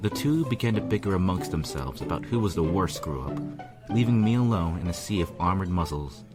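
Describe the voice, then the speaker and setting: A man reading a first-person story aloud as narrator, with an earnest, measured, brooding delivery. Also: low-pitched, lively intonation. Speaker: fan fiction reader, narrator. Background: instrumental music.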